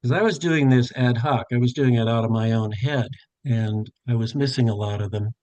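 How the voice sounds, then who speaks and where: A man's voice: mid-range voice, normal speed, a little mellow, no room sound, highs cut off. An older man leading a live online workshop for tutors, thinking aloud as he narrates each step on a video call, with frequent fillers and self-corrections. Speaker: tutor trainer. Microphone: webcam on a video call.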